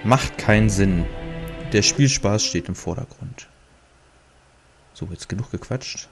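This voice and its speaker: A man's voice: fairly low pitch, slow pace. A man from Germany casually commenting on a game he is playing, rambling and breaking off with fillers as he talks through the menus. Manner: chatty, rambling, self-interrupting with fillers. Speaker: let's play host. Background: game music.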